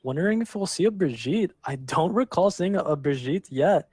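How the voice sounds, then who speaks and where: A man casting a live online match, calling the action and filling pauses with rambling, self-deprecating asides. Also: fairly high pitch, lively intonation, darkish tone, breathy edge, no echo. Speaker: esports caster. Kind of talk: live game commentary.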